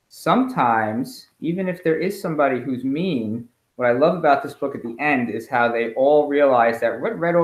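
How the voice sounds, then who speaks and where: A man reading a picture book aloud to children in an expressive, emphatic storytelling way, as a school principal at home. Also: medium pitch. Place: at home, indoors. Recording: roomy, echoey home recording.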